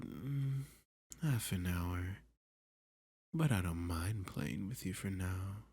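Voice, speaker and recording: A man playing a dominant, flirty villain who taunts a hostage in an intimate ASMR roleplay, often dropping to a breathy, teasing whisper. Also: low-pitched, fairly bright tone, no room sound, heavily acted. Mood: flirty, taunting. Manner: slow, teasing, sadistic, possessive.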